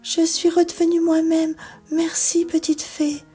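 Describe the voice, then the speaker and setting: A woman voicing a kind young fairy-tale princess, gentle, earnest and full of feeling. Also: extremely high pitch, completely flat delivery, a little slow, faint music behind, pitched above the performer's own voice. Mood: moved, grateful. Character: kind young princess, turned into a small frog.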